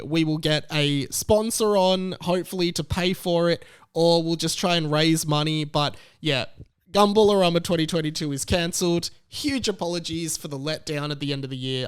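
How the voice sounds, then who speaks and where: A man in his late twenties with an Australian accent, hosting a casual solo podcast in halting phrases, stretching out key words for emphasis. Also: a little high, clean tone, no room sound, studio quality. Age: late 20s. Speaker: podcast host.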